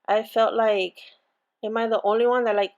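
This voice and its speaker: A woman's voice: on the high side, steady tone, moderate pace, muffled recording. A woman with an accent hosting a casual crafting tutorial, chatty and rambling with many fillers and restarts. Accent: non-native English accent. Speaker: crafting channel host.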